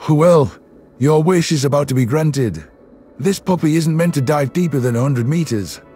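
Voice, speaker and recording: A man's voice: fairly high pitch, moderate pace, dark tone, breathy edge, soft background music. A man voicing an amused, easygoing human soldier in a sci-fi audio drama. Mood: amused, teasing. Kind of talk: audio drama character dialogue.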